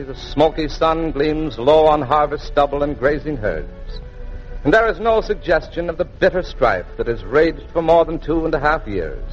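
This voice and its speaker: High-pitched vintage male radio announcer. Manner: formal, dramatic delivery. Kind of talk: 1940s radio scripted narration. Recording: muffled, noisy 1940s radio transcription.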